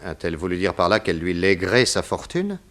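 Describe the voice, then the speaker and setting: A police detective in an old French radio mystery. He is brisk and businesslike, firing off questions with little hesitant interjections. His manner stays patient and faintly wry. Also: sits low, very animated, a bit bright, heavily acted. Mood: probing. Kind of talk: 1960s French radio crime drama acting.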